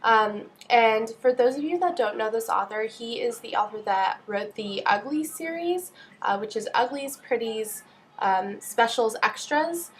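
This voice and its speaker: A woman's voice: on the high side, expressive, unhurried, slight background noise. A young woman with a nasal voice, stuffed up with a cold, chatting casually as she gives book reviews. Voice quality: nasal, congested. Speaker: book vlogger.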